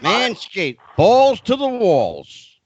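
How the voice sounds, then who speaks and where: A man hosting a podcast panel who talks excitably and keeps jumping in with questions. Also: high-pitched, drawn-out words, muffled recording, background noise. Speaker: podcast host. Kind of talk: casual podcast panel chat.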